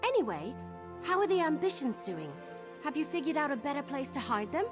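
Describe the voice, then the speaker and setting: A scatterbrained young adventurer woman talks in a high, swooping voice. She is breezy, bubbly and friendly, a fantasy game companion with music playing behind her. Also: big pitch swings, fairly quick. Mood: cheerful, eager.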